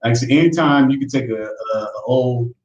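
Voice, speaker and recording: A man's voice: mid-range voice, brisk, darkish tone, dull audio. Jovial male live-show co-host; echoey room, off-mic. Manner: jovial, laughs often. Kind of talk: casual live-stream chat. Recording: roomy sound, distant from mic.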